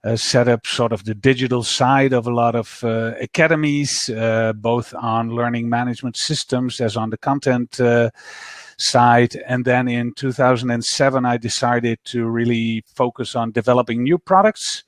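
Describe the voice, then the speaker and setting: A middle-aged man with a Dutch accent, speaking as an interview guest over a video call, measured with frequent pauses. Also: medium pitch, steady tone, no room sound. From Netherlands. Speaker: guest, startup founder. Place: office.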